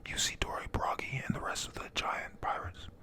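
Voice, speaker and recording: A person whispering a casual, halting, slangy retelling of a manga chapter, panel by panel, reading out the characters' lines. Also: bright, forceful tone. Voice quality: breathy unvoiced whisper. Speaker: manga reader narrating.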